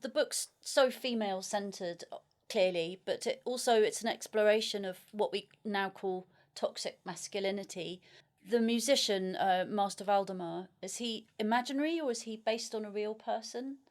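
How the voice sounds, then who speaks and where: Woman, average pitch, interviewer, conversational book-discussion style. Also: moderate pace.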